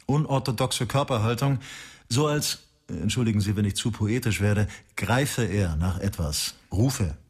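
A man's voice: on the low side, unhurried, slightly rough. An actor playing a brooding male homicide detective in a radio drama, curt and stern. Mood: earnest.

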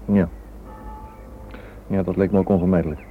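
A man's voice: sits low, steady tone, moderate pace, soft background music. A male actor playing an earnest, curious writer husband in a radio drama, with a hesitant, questioning manner. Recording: old narrow-band radio recording.

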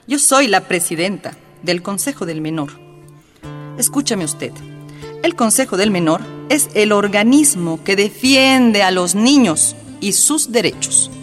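A woman's voice: mid-range voice, expressive, unhurried, lightly acted. An actress playing an elegant, haughty woman official in a radio drama, falsely courteous and pushy. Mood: formal, condescending. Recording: reverberant room, music behind.

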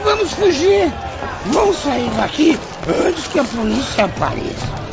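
A woman's voice: sits high, very animated, unhurried, music in the background, heavily acted. An actress playing a frightened, pleading tavern woman in a radio drama. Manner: alarmed, pleading. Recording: dull audio with crowd behind.

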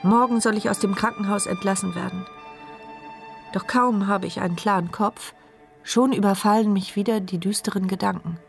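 An actress playing an anxious, sharp-minded woman in a radio play, in an intimate, questioning inner monologue. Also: mid-range voice, gravelly, natural voice.

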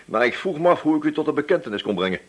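Casting: relentless, crafty radio-play inspector, husky, swooping delivery, shifting from feigned chattiness to accusation. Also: male voice, fairly high pitch, sing-song, racing. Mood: accusing, firm. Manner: probing, hesitant feints turning accusatory. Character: wily, relentless male police inspector, feigning casual chat. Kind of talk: radio drama dialogue.

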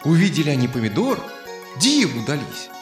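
A man tells a fairy tale to children in expressive narration. His voice is fairly high and swings widely in pitch. There is echo on it and light music behind. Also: normal speed. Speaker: storyteller.

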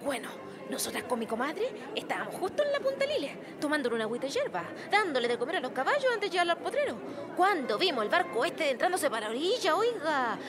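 A woman's voice: high voice, sing-song, crowd noise. A woman acting a gossipy, indignant Chilean village woman in a radio play, telling things in a colloquial, dramatic way. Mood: excited, gossipy. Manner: colloquial, dramatic, drawn-out storytelling. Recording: music behind the voice.

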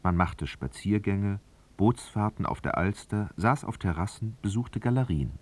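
A male narrator with a low voice tells a literary story for radio. His delivery is measured and articulate, with a faintly ironic storyteller's touch. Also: expressive.

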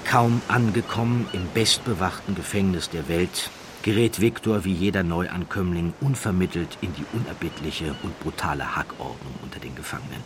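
A man narrating an audiobook story from a script in a measured, emphatic way, with a husky voice and deliberate pauses. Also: deep voice, background noise. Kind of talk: scripted dramatic narration of a true crime story.

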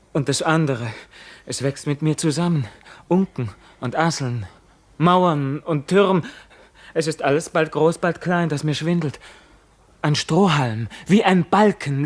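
An actor playing a tormented, bewildered young prisoner in a radio play, speaking in a halting, declamatory way. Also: male voice, fairly high pitch. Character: tormented imprisoned young man, feverish, bewildered, brooding. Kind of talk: classic German radio play acting.

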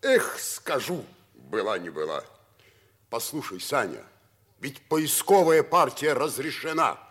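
A fatherly old fellow in a Soviet-era radio play. The voice is mid-pitched and husky, with lively, theatrical rises and falls and a kindly, encouraging manner. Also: slow, exaggerated voice. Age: older. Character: kindly, fatherly old man, mentor to a pilot.

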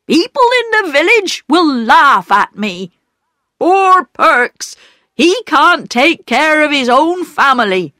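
A woman reading the part of a proud, stubborn poor working man and father, speaking slowly and loudly. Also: high-pitched, very animated, no room sound, studio quality, pitched above the performer's own voice, full character voice. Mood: indignant.

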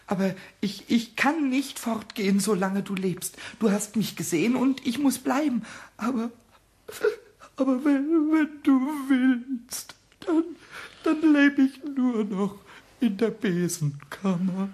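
A man playing a small childlike kobold in a children's radio play, squeaky and pleading, with halting, emotional speech. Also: darkish tone. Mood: contrite, tearful.

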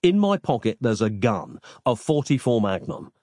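A man's voice: medium pitch, very animated, moderate pace, warm tone, dry recording, studio quality. A man voicing a brash, impatient modern young man who talks bluntly. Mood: exasperated.

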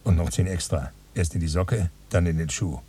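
An actor playing a weary gambler who tells of his night at the dice table in a terse, dry radio drama monologue, with a husky voice. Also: low-pitched, normal speed, warm tone, recorded from a distance. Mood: terse, tense. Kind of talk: radio drama narration, first-person monologue.

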